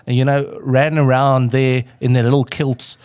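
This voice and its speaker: A man's voice: medium pitch, little pitch movement, a little slow, darkish tone, smooth, no room sound. A man with a South African accent, a radio host who jokes and teases guests on the air. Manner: joking and teasing, with laughs. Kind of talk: bantering radio chat.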